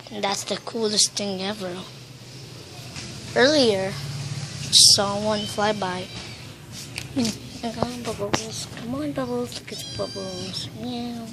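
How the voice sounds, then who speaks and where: A woman with a Filipino accent talks through a casual vlog in a rambling, excitable way, thinking out loud as she films outdoors on a handheld phone. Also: medium pitch, slow pace, long pauses, background noise. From Philippines. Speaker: vlogger.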